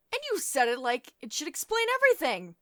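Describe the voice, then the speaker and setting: Woman acting a stern, domineering female CEO, animated delivery. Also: sits high, dry recording, studio quality, heavily acted. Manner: domineering, sardonic, clipped reprimands with sugary pet names. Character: stern, commanding female tech CEO, condescending yet caring. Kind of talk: ASMR roleplay voice acting.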